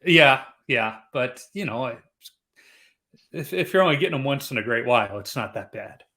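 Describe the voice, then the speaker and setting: A man's voice: mid-range voice, expressive, normal speed, bright, forceful tone. A middle-aged man from Chicago hosting a casual podcast, chatty and rambling with restarts. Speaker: podcast host.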